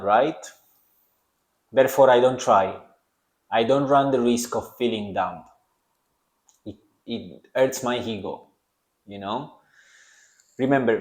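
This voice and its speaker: A man's voice: mid-range voice, reverberant. A young man with a strong Italian accent, hosting a solo podcast in earnest, reflective talk aimed at the listener. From Italy. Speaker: podcast host.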